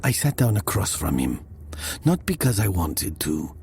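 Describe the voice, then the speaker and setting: A man narrating a first-person horror story dramatically, in a hushed, deliberate, often whispery manner. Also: deep voice, very animated, gravelly, a little hiss or hum.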